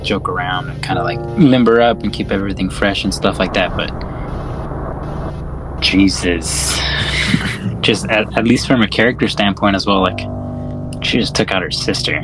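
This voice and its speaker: A man's voice: lowish voice, poor audio. A man co-hosting a podcast, casually discussing films in a crude, joking, profane way. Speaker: podcast co-host.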